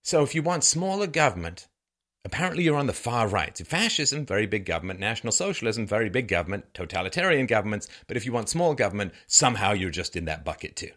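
A man's voice: on the low side, very animated, fast talker, fairly bright tone. A man from Ireland and England delivering an opinion monologue in a sarcastic, rhetorical manner with theatrical emphasis. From born in Ireland, grew up in England. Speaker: host of a political commentary show.

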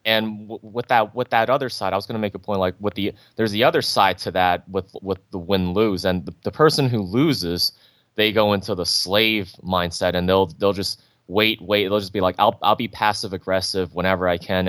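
A man calling in to a show, agreeing readily and speaking haltingly with many restarts. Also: sits low, fairly bright tone, no room sound, studio quality. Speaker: caller. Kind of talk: call-in phone conversation.

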